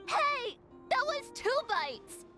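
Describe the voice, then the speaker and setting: A woman's voice: very high voice, sing-song, drawn-out words, music in the background, full character voice. A woman voicing a sweet young child in a video game. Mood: indignant.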